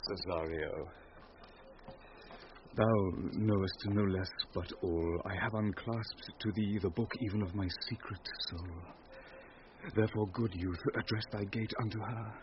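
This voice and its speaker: An actor playing a lovesick, commanding noble lord, speaking in romantic, declamatory Shakespearean radio drama style. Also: male voice, lowish voice, fairly even intonation, a little slow, lightly acted. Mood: intimate, earnest. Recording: dull recording with noisy ambience.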